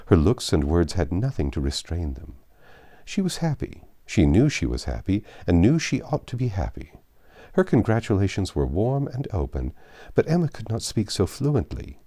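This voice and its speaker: A male narrator reads classic fiction in a measured storytelling style. His voice is low and relaxed in tone. The intonation is animated and varied, shaping each phrase expressively. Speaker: audiobook narrator. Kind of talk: expressive scripted literary narration.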